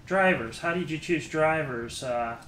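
A middle-aged man with a slightly high voice conducts a casual interview. He talks in a halting, chatty way in an echoey room, with some noise behind him. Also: fairly bright tone. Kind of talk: casual chat. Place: small indoor listening room, seated. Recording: roomy echo, clear background noise.

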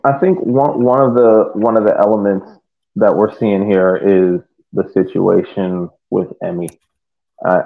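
A man giving casual sports analysis on a podcast, deliberate and often repeating words, over a tinny video call. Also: deep voice. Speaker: podcast panelist. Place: indoor room on a video call.

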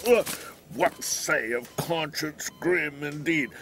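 A man's voice: a little high, takes it slowly, gravelly, noisy background. An actor playing a cranky, crude old man in a hospital bed, grumbling, sarcastic and teasing, in an audio drama. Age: older. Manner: grumbling, sarcastic, bellowing for the nurse.

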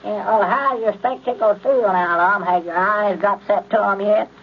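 An actor playing a fretful, excitable old country storekeeper with a rural Southern accent in a 1930s radio comedy. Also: male voice, high voice, normal speed. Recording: dull, noisy old radio recording.

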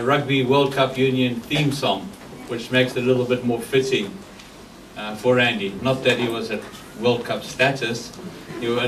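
A man in his forties addresses a gathering in a plain, halting way. His mid-pitched voice comes through a podium microphone in an echoey room, heard at some distance with noise behind it. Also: a bit bright. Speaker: memorial service officiant. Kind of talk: informal announcement to a congregation. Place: large crowded wood-panelled clubhouse hall, indoors. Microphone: gooseneck podium microphones.

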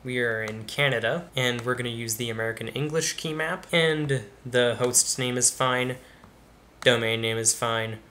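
A man's voice: medium pitch, pushed and bright. A young man hosting a tech channel, casually talking through each step of a computer install as he does it. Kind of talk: screen-recorded tutorial narration. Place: bedroom studio with computer screens behind.

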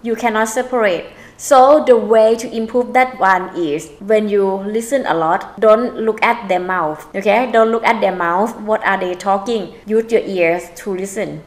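A woman in her thirties with a Thai accent, teaching a casual lesson to camera in an animated way and repeating words. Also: mid-range voice. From Thailand. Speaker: language teacher. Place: indoor room with a holiday tree.

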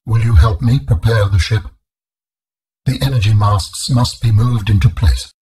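Husky, solemn ancient alien being. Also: male voice, fairly low pitch, fairly even intonation, mellow, rough recording. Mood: calm, grave. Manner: formal, measured, grave phrasing. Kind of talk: video game voice acting.

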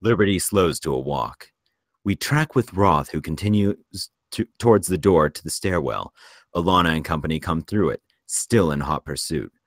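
A man reading screenplay stage directions as narrator, in a measured, descriptive way. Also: deep voice, animated, no room sound, clean studio sound.

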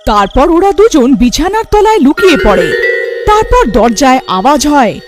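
A woman's voice: sits high, big pitch swings, moderate pace, music in the background. A woman narrator delivering scripted storytelling for a horror cartoon.

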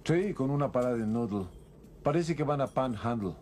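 A man's voice: medium pitch, animated, moderate pace, darkish tone, slight background noise, natural voice. A man dubbing a film role as a male lawman tracking a fugitive, asking probing questions. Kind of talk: film dub acting.

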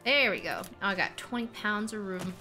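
A young woman with a very high-pitched, almost childlike voice rambles through a story while she plays. She swears casually and laughs at herself. Game music plays clearly underneath her. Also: expressive, normal speed, bright, forceful tone. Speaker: streamer. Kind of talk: casual gaming stream chat.

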